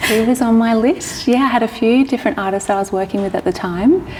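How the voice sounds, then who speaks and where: An Australian woman from Sydney in her thirties, chatting as an interview guest and telling stories quickly with many fillers. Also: fairly high pitch, long reverb tail, noisy background. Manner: chatty, fast run-on storytelling with many "like"s. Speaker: guest, publicist and entrepreneur. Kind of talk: casual interview chat.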